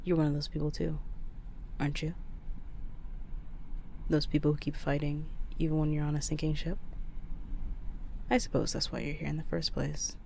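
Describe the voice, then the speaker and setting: Audio drama: male actor as quiet criminal henchman, creaky voice, hesitant, apologetic, slightly noisy recording. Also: fairly high pitch, little pitch movement, normal speed, long pauses. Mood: subdued, reflective. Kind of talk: roleplay audio drama acting.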